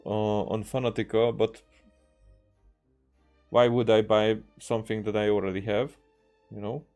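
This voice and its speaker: A man streaming games, chatting casually in a hesitant, halting way as he thinks aloud. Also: lowish voice, flat delivery, a little mellow. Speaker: game streamer. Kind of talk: casual livestream chat. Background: music.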